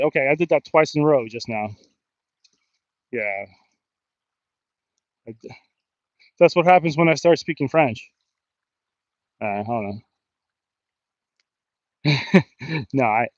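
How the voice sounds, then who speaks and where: A man's voice: mid-range voice, dry recording, dull audio. A man with an American accent chatting casually on an outdoor live stream, rambling and joking at his own expense. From New Jersey, United States. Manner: rambling, self-deprecating, joking. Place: outdoors in a river in a park.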